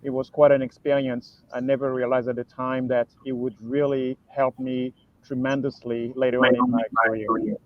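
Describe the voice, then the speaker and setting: A man's voice: mid-range voice, little pitch movement, moderate pace, dry recording. Courteous man from Cameroon, dull dry audio. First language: French. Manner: courteous, formal. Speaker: sales director, panelist. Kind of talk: business panel introduction. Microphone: video call.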